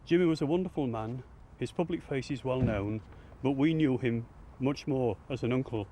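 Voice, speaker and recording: A man's voice: mid-range voice, normal speed, warm tone. A man acting as family spokesman, reading a formal prepared statement outdoors. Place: outdoors in a street. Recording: distant mic, clear outdoor traffic noise.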